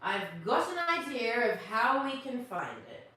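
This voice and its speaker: A woman's voice: fairly high pitch, big pitch swings, measured pace, bright, forceful tone, exaggerated voice. A woman voicing a wise, kindly male owl who leads the forest birds in a children's storybook, thoughtful and reassuring. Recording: distant, very echoey, faint noise.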